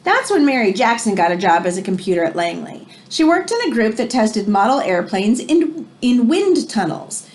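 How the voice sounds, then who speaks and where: Lady teacher reading a kids book out loud, highish voice that swings up and down a lot, leans on key words. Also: sing-song. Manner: explanatory, emphatic, with drawn-out key phrases. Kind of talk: expressive read-aloud of a children's nonfiction book with brief asides.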